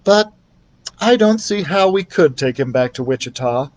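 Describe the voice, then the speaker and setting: A man's voice: fairly high pitch, animated, moderate pace, voice pushed up, natural voice. A man voicing a sensible, kindly young woman kindergarten teacher in a children's storybook, speaking in a practical, careful manner.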